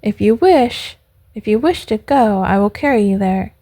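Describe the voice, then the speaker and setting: A woman voicing a large, helpful male pigeon in a storybook, with a measured, matter-of-fact manner. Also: mid-range voice, lively intonation, mellow. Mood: calm, informative. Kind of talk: storybook character voice.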